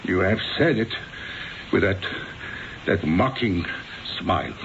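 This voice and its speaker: A bitter, brooding husband from a vintage radio play, with a creaky voice that swings widely in pitch. He is sardonic and menacing, halting and hammering on repeated words, on a muffled, hissy old broadcast. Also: lively intonation, heavily acted. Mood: bitter, accusing. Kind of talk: old-time radio drama acting.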